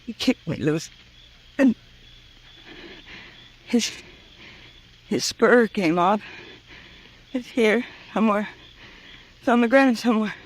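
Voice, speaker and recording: Slow, anguished frontier mother. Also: a little high, lively intonation, a little hiss or hum. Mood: dazed, anguished. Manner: halting, anguished, repetitive. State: weak, anguished. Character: distraught, wounded frontier mother, grown woman. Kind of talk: old time radio western acting. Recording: vintage radio recording.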